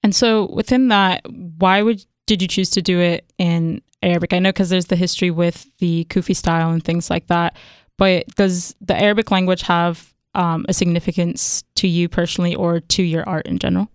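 A woman's voice: lowish voice, fairly even intonation, moderate pace, hardly any pauses, smooth, no room sound, clean studio sound. A young woman hosting a radio interview, asking long, curious questions. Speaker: radio host.

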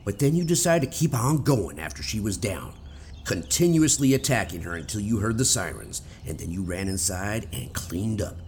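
A man's voice: fairly low pitch, lively intonation, moderate pace, a little mellow, slight background noise. A man playing a stern, accusing police detective in a radio theater drama.

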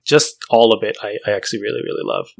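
A man's voice: lowish voice, quick pace, creaky. A man co-hosting a film podcast, speaking casually with plenty of hedges and "like". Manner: hedging, often says "like" and "I mean". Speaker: podcast co-host.

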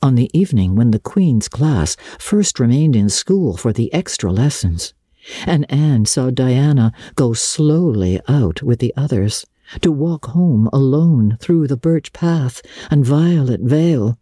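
A woman's voice: very deep voice, dark tone, husky, no room sound, clean studio sound. A woman narrator giving a dramatic, scripted reading of classic literature.

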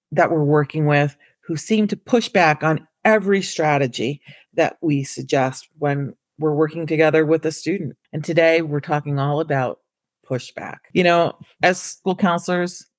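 Hoarse raspy woman hosting a podcast. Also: low voice, dry recording. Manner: warm encouraging tone, addresses listeners as friends. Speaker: podcast host and school counselor. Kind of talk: casual solo podcast talk.

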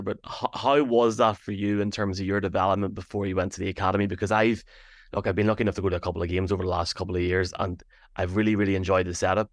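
Male podcast host, low-pitched, rapid chatty delivery, dry close sound. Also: no echo. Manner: rapid, chatty, lots of restarts. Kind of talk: casual interview chat.

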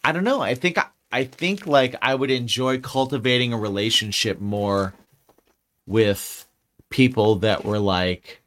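A man's voice: mid-range voice, unhurried, fairly bright tone. A man co-hosting a podcast in casual chat, rambling and restarting his sentences and swearing freely. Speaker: podcast co-host.

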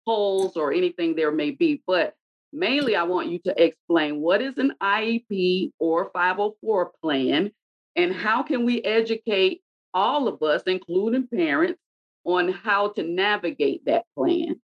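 A woman's voice: on the low side, animated, a little slow. A woman moderating a panel discussion, asking long, conversational questions. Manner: conversational, asks questions at length. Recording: dull, muffled audio.

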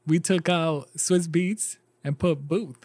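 A laid-back man, the podcast's DJ, who mostly answers in short, easy replies and laughs along. His voice is a bit higher than most men's. The sound is dry and close to the mic. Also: fairly even intonation, takes it slowly, darkish tone, no room sound. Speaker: podcast DJ and co-host. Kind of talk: casual banter.

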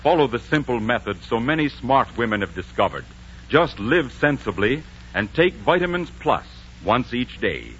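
A man's voice: mid-range voice, big pitch swings, normal speed, a bit bright, slight background noise. A man with an American accent announcing in a polished, persuasive 1940s radio style. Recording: muffled 1940s radio broadcast.

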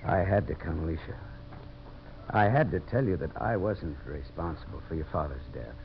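A man's voice: sits low, animated, moderate pace, gravelly. An actor playing a tough, determined American man turned champion of settlers in a 1950s radio drama, intense and earnest. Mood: hushed, earnest. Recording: muffled, hissy old radio broadcast.

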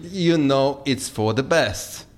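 An actor playing a deadpan, businesslike Kremlin official in an audio drama, speaking in a matter-of-fact way. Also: male voice, mid-range voice, takes it slowly.

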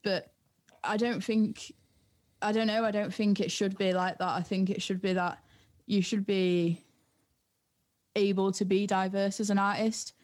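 A young woman with a North East English accent chatting casually as a music producer, informal and halting, often saying "like". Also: medium pitch, little pitch movement, darkish tone, dry recording. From North East England. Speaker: music producer and artist, interview guest. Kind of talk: casual chat.

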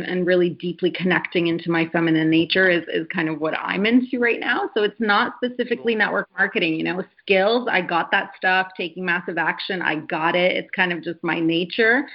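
A woman in her thirties talks in a low, earnest voice, reflecting on her life as an interview guest. She pauses on fillers and restarts her sentences often. The sound is a dull, lo-fi video call. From Canada. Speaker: guest, network marketer. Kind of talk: casual interview chat.

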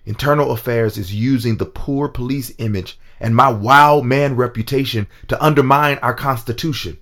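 A man's voice: medium pitch, moderate pace, a bit bright, natural voice. A man acting in an audio drama as a strong, secretive rebel politician, confiding earnestly in his lover. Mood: grave, confiding.

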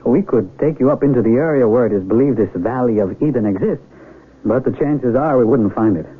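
A man's voice: mid-range voice, a little fast, breathy edge, close to an everyday voice. A man plays a middle-aged South American mountain guide in a 1970s radio drama. He is earnest, idealistic and courteous. The recording is narrow and tinny, like a phone line. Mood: courteous, cautious.

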